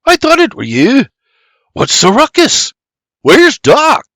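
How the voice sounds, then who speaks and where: A man voicing a blustering, excitable frontier homesteader in an audiobook story. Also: very high voice, slow pace, dry recording, clean studio sound, voice pushed up, heavily acted. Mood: startled, questioning. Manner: blustering, exclamatory.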